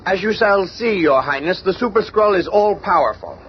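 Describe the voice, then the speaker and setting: A man's voice: high voice, lively intonation, moderate pace. A man playing a proud alien wizard, formal and fawning, presenting like a grand showman in a radio drama. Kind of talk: superhero radio drama acting. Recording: noisy muffled radio drama.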